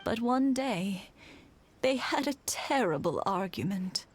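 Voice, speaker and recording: A fond, teasing old wife. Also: female voice, medium pitch, animated, slight background noise. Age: older. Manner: slow, gently teasing, motherly. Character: warm elderly village wife, teasing and fond. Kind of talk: game voice acting. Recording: game dialogue with music behind.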